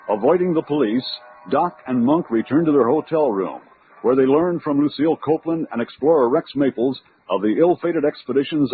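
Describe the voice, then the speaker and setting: A man's voice: medium pitch, slight background noise. A man narrating a scripted adventure serial as a dramatic old-time radio announcer. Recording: tinny radio sound over music.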